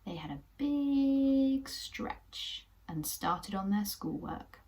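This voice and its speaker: A young English woman hosting a children's puppet show, telling a story in a gentle, encouraging way. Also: mid-range voice, animated, extremely slow. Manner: gentle, encouraging, sometimes whispery narration.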